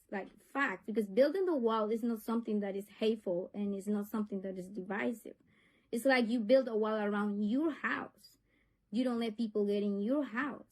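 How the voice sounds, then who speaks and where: High-voiced woman with a Honduran accent. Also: a little mellow, rough recording. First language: Spanish. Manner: earnest, insistent. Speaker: parent of a pupil. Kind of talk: serious complaint in a conversation.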